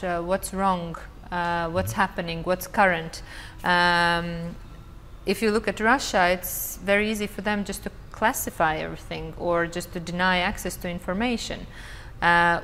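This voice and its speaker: A woman speaks on a conference panel in a considered, hesitant way, pausing often with "uh" as she builds her points. Her voice carries through a large hall with a long reverb tail. A faint hum of noise sits underneath. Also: lowish voice, a bit bright. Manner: thoughtful, hesitant with frequent fillers. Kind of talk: panel discussion.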